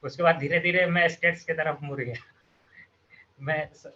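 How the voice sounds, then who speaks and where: A young man from Bihar answers interview questions over a video call, speaking haltingly and starting over often. His voice is bright, tense and somewhat high, and the audio sounds dull and lo-fi. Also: fairly even intonation. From Bihar, India. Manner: hesitant, halting, many fillers and restarts. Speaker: former student guest.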